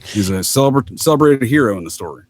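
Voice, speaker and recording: A man's voice: medium pitch, lively intonation, fast talker, darkish tone, dry recording. A middle-aged man in casual, opinionated podcast conversation, speaking emphatically and rambling, with free swearing. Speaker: podcast guest, retired military master sergeant.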